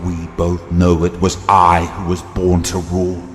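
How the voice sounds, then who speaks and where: An actor playing a bitter, arrogant vampire prince, haughty and grandiose, in an audio drama. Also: male voice, low voice, monotone, measured pace, slight background noise. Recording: long cavernous reverb, faint music.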